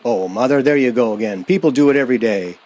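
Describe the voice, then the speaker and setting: A man's voice: mid-range voice, very animated, fast talker, a little mellow. A man playing a haunted man in his thirties in a radio thriller. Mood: reassuring. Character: haunted 36-year-old man insisting he is sane.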